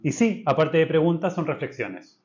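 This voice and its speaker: A man's voice: a little high, expressive, normal speed, darkish tone. A man from Chile, co-hosting a podcast in casual chat. He thinks aloud with restarts and states his principles firmly. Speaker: podcast co-host. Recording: slightly dull lo-fi sound.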